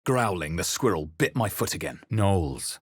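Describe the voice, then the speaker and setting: A man voicing a wary, brooding adventurer hero in a fantasy video game, narrating in the first person with dramatic, measured intensity. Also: sits low, big pitch swings, husky, no room sound, studio quality, exaggerated voice. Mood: tense, brooding. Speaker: video game player character voice.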